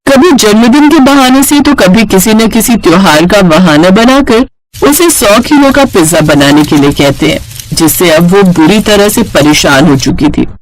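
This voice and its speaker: A woman's voice: medium pitch, animated, moderate pace, rough recording. A woman reading scripted narration for a cartoon moral story.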